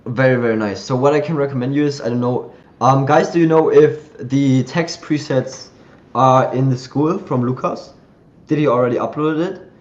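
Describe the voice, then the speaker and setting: A man hosting a live community call, chatting loosely and thinking aloud as he shows software on his screen. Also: mid-range voice, normal speed, lo-fi sound. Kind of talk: casual screen-share tutorial.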